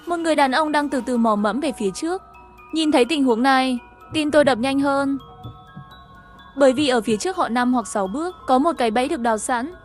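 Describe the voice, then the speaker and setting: Woman w a high voice narrating a first person story, music playing behind.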